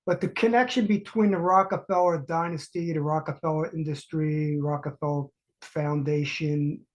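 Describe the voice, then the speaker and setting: A man's voice: a little high, fairly even intonation, normal speed. A man hosting a solo podcast, congested from allergies, talking casually with many restarts and repeated words. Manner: repetitive, restarting, uneven emphasis. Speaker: podcast host.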